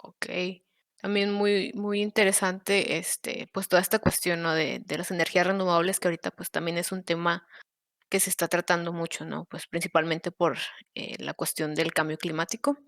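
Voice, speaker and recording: A woman's voice: on the low side, flat delivery, moderate pace, fairly bright tone, no room sound, studio quality. A woman with a Mexican accent hosting a panel podcast in a semi-formal, somewhat hesitant way with frequent filler words. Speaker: podcast host.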